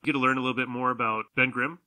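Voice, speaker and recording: A man's voice: mid-range voice, level tone, very fast, bright, forceful tone, rough recording. A man hosting a podcast in a casual, chatty group conversation. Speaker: podcast host.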